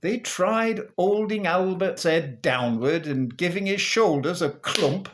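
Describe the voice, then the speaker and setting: A man reciting a comic verse monologue in a theatrical, measured storytelling manner. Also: sits high, expressive. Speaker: monologue performer.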